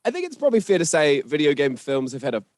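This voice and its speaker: A man's voice: a little high, wildly swooping pitch, fast talker, darkish tone, no echo, clean studio sound. A man hosting, chatting casually and putting questions to guests. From New Zealand. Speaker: podcast host and interviewer. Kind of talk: casual podcast chat and interviewing.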